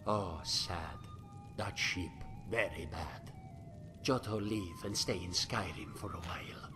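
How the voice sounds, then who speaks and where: A man's voice: low-pitched, slow pace, over music. A man voicing a simple, wistful cat-man hunter and former sailor in a video game, talking in broken English about himself in the third person. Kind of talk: video game character dialogue.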